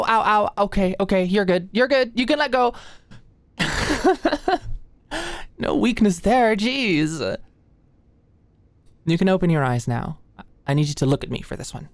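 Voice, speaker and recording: A woman playing a sweet, anxious young medical student in an ASMR roleplay, speaking gently and a little awkwardly close to the mic. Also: very deep voice, dry recording. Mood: pained, flustered, then playful, encouraging. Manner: gentle, halting, clinical instructions slipping into shy fluster. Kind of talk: ASMR roleplay, soft close conversational delivery. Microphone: close microphone, dry sound.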